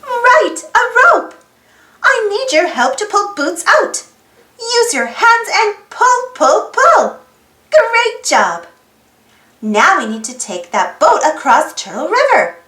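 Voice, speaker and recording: A woman reading a children's picture book aloud in an animated way, putting questions to young listeners. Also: extremely high pitch, slow pace, roomy sound. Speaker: storybook read-aloud host.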